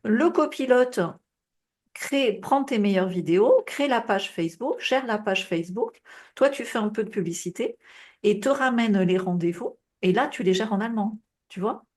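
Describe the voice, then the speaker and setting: A woman's voice: medium pitch, animated, moderate pace, a little mellow. A French-speaking woman in the middle of her range gives advice in a loose, conversational flow. She leans on fillers and keeps checking in with the listener, and her voice sounds slightly tired and prone to coughs. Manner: casual, advising, many fillers and tu vois. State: coughing, voice tiring. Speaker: business coach. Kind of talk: group coaching call.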